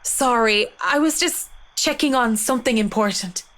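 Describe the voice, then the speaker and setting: A woman's voice: fairly high pitch, normal speed, fairly bright tone, natural voice. An actress playing a polite, guarded professional woman in a visual novel, speaking in a composed, deferential way.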